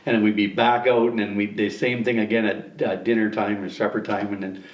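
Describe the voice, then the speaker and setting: Nostalgic man in his sixties, low voice. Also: level tone, a little fast, highs cut off. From Ontario, Canada. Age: 60s. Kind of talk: documentary interview. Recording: roomy sound from a distant mic.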